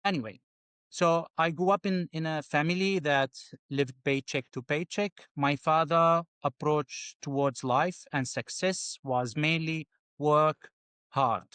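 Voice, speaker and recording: Syrian guy, smooth clear voice, dry close sound, kinda halting, repeats words. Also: fairly high pitch, steady tone, a bit bright, no echo. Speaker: guest. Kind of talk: interview answers.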